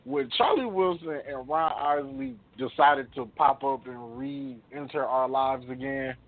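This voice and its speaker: A man co-hosting a radio show in casual, opinionated banter, blunt and slangy, repeating phrases for emphasis. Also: high-pitched. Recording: tinny, phone-like internet radio audio.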